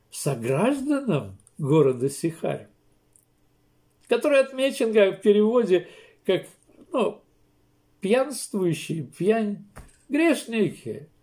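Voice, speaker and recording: An older man, a pastor, preaching a sermon slowly and emphatically from a small room, with pauses and restarts. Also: sits high, darkish tone. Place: small room indoors, seated in armchair.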